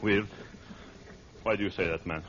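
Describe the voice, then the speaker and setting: An actor playing a guilt-crazed, haunted murderer at sea in a 1940s radio horror play, raving in short repeated bursts. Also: male voice, lowish voice, fairly even intonation, normal speed, lightly acted. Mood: evasive, nervous. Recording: noisy muffled 1940s radio recording.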